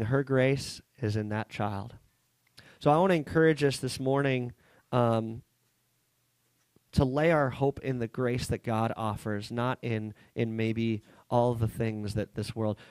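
A man in his thirties preaching a sermon at a small coffee-shop church, earnest and halting, with frequent restarts, speaking into a headset mic. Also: mid-range voice, a little mellow, smooth, dry recording. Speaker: pastor.

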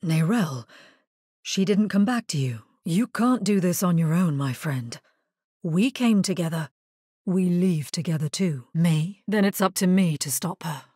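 Husky, grave necromancer heroine. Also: female voice, lowish voice, lively intonation, unhurried, mellow, no echo, clean studio sound. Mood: grave, resolute. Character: grave, resolute female necromancer hero. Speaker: video game voice actor. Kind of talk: scripted video game character lines.

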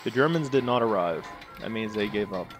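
A man's voice: lowish voice, lively intonation, a little fast, music in the background. A man hosting his own gaming channel, thinking aloud while he plays, rambling and tossing in sarcastic asides to himself. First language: English. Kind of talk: casual strategy-game commentary.

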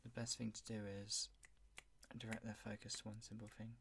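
A soft-spoken ASMR roleplayer playing a gentle horse veterinarian, speaking in a soothing, reassuring manner close to the microphone. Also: mid-range voice, monotone, fairly quick, creaky, background noise.

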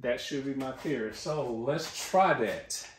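A man's voice: mid-range voice, a little slow. American guy talking thru a diy job as he works, echoey garage, some background noise. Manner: informal, thinking aloud as he works. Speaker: DIY tutorial host. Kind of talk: casual how-to narration while working.

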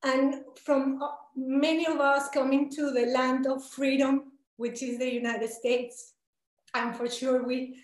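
High-pitched young woman, Colombian accent, earnest questioner in an echoey home room on a video call. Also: flat delivery, a little slow. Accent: Colombian Spanish. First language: Spanish. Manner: earnest, hesitant, with many fillers. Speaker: participant asking a question. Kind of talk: asking a question in an online Q&A. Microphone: video call laptop.

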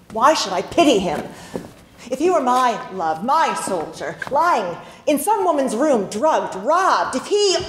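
A middle-aged woman on a theatre stage playing a proud, cunning Russian lady spy in a deliberate, dramatic, declamatory style. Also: on the high side. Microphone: distant camera. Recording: echoey stage from afar, noisy, clicks.

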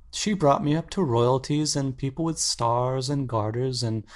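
An actor playing an earnest, anxious painter in a dramatic audiobook, speaking intensely and articulately. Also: male voice, mid-range voice, darkish tone. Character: earnest, anxious male painter confessing his obsession.

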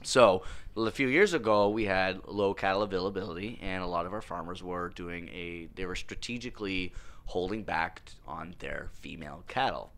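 Bright-voiced chatty Canadian man. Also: low voice, moderate pace. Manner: chatty storyteller, acts out quotes. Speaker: podcast guest. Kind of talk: casual chat. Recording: podcast studio mic.

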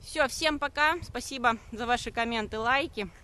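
A woman in her thirties, talking on a live stream while she walks a forest path with her phone held at arm's length. She answers viewers' comments briskly and defensively and hesitates often. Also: a little high, sharp tone. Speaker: network marketing streamer. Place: walking outdoors on a forest path.

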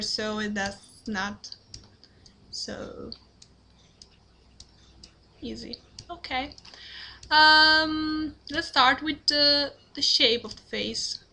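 A young woman from Italy with an Italian accent, talking through a drawing tutorial in halting English, apologetic and full of fillers and restarts. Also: fairly high pitch, pushed and bright. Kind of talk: hesitant screen-recorded drawing tutorial. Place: at a computer, indoors.

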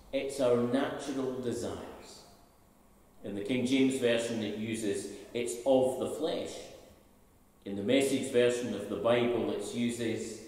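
A man's voice: mid-range voice, dark tone. An older man with a Scottish accent, a minister preaching a sermon from a pulpit and asking the congregation rhetorical questions. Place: large church interior, at a pulpit. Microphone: stand mic at pulpit, camera from a distance. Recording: echoey church hall from far off.

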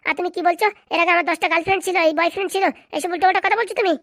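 A woman's voice: very high voice, fairly even intonation, racing, a bit bright, no room sound, clean studio sound. A woman playing an aggrieved, stubborn young wife, argumentative and emphatic, in a comic cartoon. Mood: angry, accusing. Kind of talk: comic cartoon drama dialogue.

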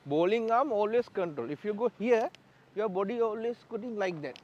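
A man's voice: high-pitched, moderate pace, highs cut off. A Sri Lankan man coaching bowlers at outdoor nets, giving short, direct instructions in broken English and laughing often. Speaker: bowling coach. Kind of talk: coaching at net practice. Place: outdoor cricket nets at a training ground. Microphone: clip-on mic.

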